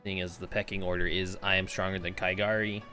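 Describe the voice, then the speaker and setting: A man's voice: low-pitched, level tone, a little fast, pushed and bright, faint music behind. A man doing casual, joking livestream commentary on a game, slangy and sweary. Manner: goofy, slangy, profane. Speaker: game streamer.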